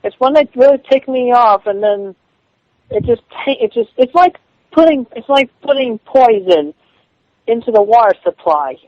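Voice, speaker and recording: High-pitched defensive man on the phone. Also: animated, a little mellow, no room sound. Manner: defensive, halting, repeats himself. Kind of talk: phone conversation, answering challenges. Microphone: telephone call. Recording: dull lo-fi phone call recording.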